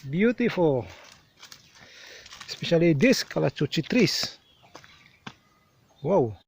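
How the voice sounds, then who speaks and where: Slow-talking Filipino man outdoors. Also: fairly high pitch, very animated, vocal fry, a little hiss or hum. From Philippines. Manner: explanatory, unhurried, with restarts. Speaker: travel vlogger. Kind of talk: outdoor travel vlog narration. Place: outdoors on a hilltop shrine.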